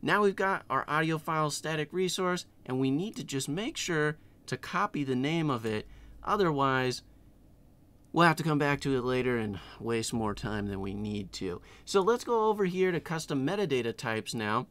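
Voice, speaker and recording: A middle-aged man hosting a casual screen-share software tutorial in a tongue-in-cheek, playfully exaggerated way. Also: on the high side, expressive, normal speed. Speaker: tutorial host.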